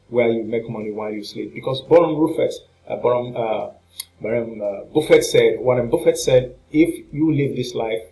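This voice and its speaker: A man with a mid-pitched voice hosts a promotional review for his viewers. He talks off the cuff in a salesy, repetitive manner, often hesitating as he makes his pitch. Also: dark tone, rough recording. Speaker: online marketing product reviewer and channel host.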